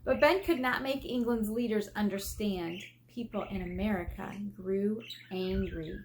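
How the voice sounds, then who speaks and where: A woman teacher reading aloud to children in an expressive way, asking questions and drawing out key words for emphasis. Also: medium pitch, measured pace. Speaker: elementary school teacher. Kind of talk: read-aloud lesson for children. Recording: faint background noise.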